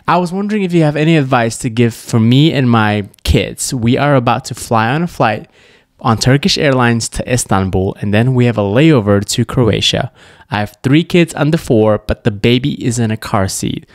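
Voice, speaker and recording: A man's voice: mid-range voice, normal speed, dry recording, studio quality. A man in his thirties from Malaysia, co-hosting a podcast in a casual, playful chat into a tabletop microphone. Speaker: podcast co-host. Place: small indoor room at a table. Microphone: tabletop podcast microphone on stand.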